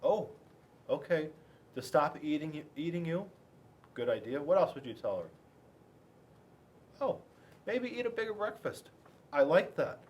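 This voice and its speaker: Man, high-pitched for a male, very animated intonation, chatty, expressive children's storybook read-aloud. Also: measured pace, pauses often, highs cut off. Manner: expressive, chatty, addressing young listeners. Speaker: police school resource officer reading to children.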